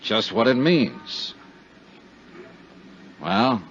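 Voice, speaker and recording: A man's voice: mid-range voice, very animated, very slow, fairly bright tone, slight background noise, exaggerated voice. An actor playing a tough, weary insurance investigator who narrates his case tersely in 1950s radio drama style. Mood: pressing, curt. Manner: terse, hard-boiled. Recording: dull 1950s radio recording with music.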